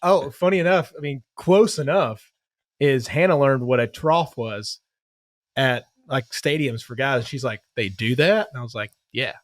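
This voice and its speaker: A man's voice: mid-range voice, a little slow, no room sound. Young male co-host, easygoing rambler, dry close mic. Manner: laid-back, rambling storyteller. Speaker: podcast co-host. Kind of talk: casual sports chat.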